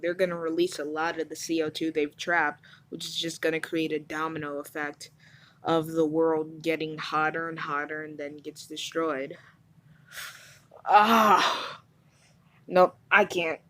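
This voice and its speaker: Woman playing a frank, low-voiced, overheated news anchor. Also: a bit bright. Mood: exasperated. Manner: informal, rambling, blunt. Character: overheated, frank, swearing female TV news anchor, flustered and weary. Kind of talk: amateur audio drama newscast, conversational.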